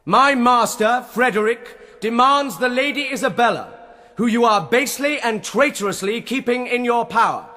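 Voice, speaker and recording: An actor playing a bold, defiant herald who declaims formally in a gothic radio drama with a reverberant hall sound. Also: male voice, very high voice, lively intonation, moderate pace, bright, forceful tone, full character voice. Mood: defiant proclamation. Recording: reverberant castle-hall effect.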